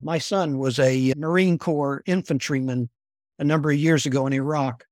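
An older man, a former intelligence officer, telling stories in a soft, unhurried voice as an interview guest. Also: a little high, steady tone, dry recording, studio quality. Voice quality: soft-spoken. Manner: unhurried, repeats words when restarting. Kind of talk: conversational storytelling.

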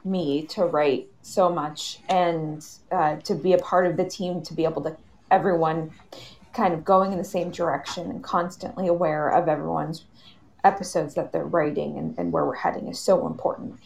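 A woman moderates a live panel in a voice with noticeable creak. She is measured as she introduces guests and frames questions, pausing along the way. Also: deep voice, fairly even intonation, darkish tone, slight background noise, rough recording. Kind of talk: hosting a live panel discussion.

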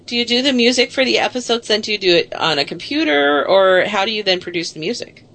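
A woman's voice: mid-range voice, lively intonation, quick pace, vocal fry, fairly bright tone. A woman in her late forties hosting a casual radio talk show, chatty and self-deprecating, laughing easily. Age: 40s.

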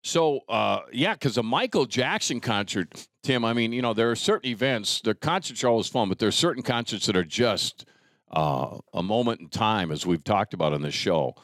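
A man's voice: lowish voice, lively intonation, normal speed, fairly bright tone, no room sound, clean studio sound. A middle-aged man hosting a podcast in a casual, chatty style. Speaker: podcast host. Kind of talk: casual chat.

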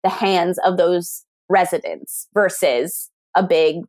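A woman's voice: on the low side, slow pace, darkish tone. An American woman, a tourism academic, talking through ideas in an interview, often posing rhetorical questions and restarting phrases. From United States. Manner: thoughtful, asks rhetorical questions, frequent restarts. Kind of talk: conversational interview.

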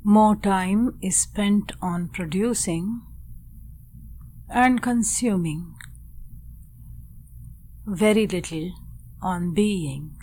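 Slow-paced woman guiding meditation. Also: medium pitch, darkish tone, a little hiss or hum. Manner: measured, deliberate, short phrases with long pauses. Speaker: meditation guide. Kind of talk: guided meditation narration.

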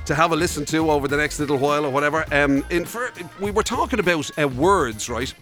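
A man's voice: on the high side, fast, a bit bright, faint music behind. An Irish man co-hosting a radio show, chatting informally and teasing, close to a studio microphone. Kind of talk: casual chatty radio presenting.

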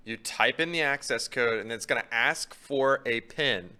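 Clear, bright-voiced man talking sports. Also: mid-range voice, moderate pace. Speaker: sports show co-host. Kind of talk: casual sports talk.

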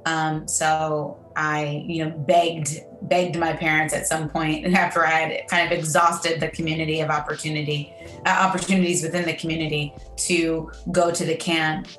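Woman, actress from Michigan, chatty, fairly low voice, roomy echo. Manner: chatty, lively, quick to laugh. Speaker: actress, interview guest. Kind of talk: casual interview.

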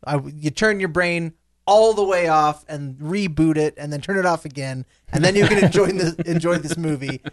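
A man's voice: on the high side, moderate pace. A man on a film podcast panel, chatting casually in a wry, joking manner. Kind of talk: casual chat.

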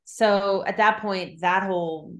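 A woman's voice: mid-range voice, fairly even intonation, slow. A middle-aged woman talking casually as an interviewed novelist, thinking aloud in loose, looping sentences. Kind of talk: casual conversational interview about writing.

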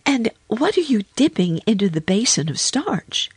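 A woman voicing a curious, excitable little girl in a children's storybook, full of direct questions and exclamations. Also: a little high, moderate pace, breathy edge, dry recording, voice pushed up, heavily acted. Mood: curious, excited.

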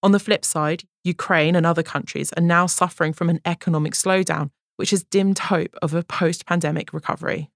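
A woman's voice: on the low side, steady tone, a little fast, gravelly, few pauses, no echo, studio quality. A woman hosting a news podcast, reading scripted narration between interview clips. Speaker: podcast host.

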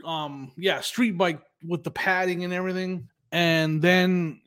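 High-voiced man chatting and laughing. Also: steady tone, fairly bright tone, clear, resonant tone. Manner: laughs often, quick agreeing interjections. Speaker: podcast co-host. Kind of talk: casual chat.

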